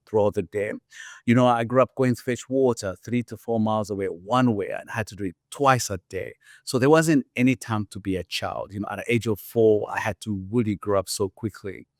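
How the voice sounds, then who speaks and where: A man's voice: fairly low pitch, dry recording, studio quality. A middle-aged man with a Ugandan accent, a podcast guest earnestly telling his life story and leaning on "you know" as he talks. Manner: earnest, reflective, repeats "you know" often.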